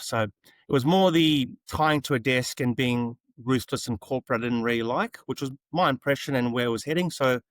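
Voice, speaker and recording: A man chats as a podcast guest. His voice sits at a middle pitch, and he tells stories in an easygoing, matey way. The recording is dry, without room sound. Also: no room sound. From South Africa, later Australia. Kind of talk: casual interview storytelling.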